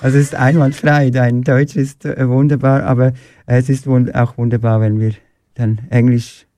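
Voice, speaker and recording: A man hosting a radio-style interview, asking steady questions and rephrasing his guest's words supportively. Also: mid-range voice, normal speed. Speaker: radio show host. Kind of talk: interview conversation.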